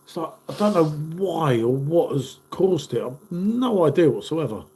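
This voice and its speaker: A man's voice: fairly high pitch, very animated, normal speed, warm tone. A man in his fifties, hosting a casual live stream and chatting matily with viewers while stuffed up from a cold. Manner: chatty, matey, calls viewers "mate".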